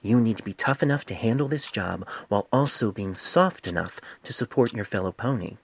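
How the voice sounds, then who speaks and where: A man voicing a stern, wise older female pony physician in a storybook reading, speaking in a measured, grave, admonishing way. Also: on the low side, expressive, breathy edge, pitched above the performer's own voice. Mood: earnest, admonishing.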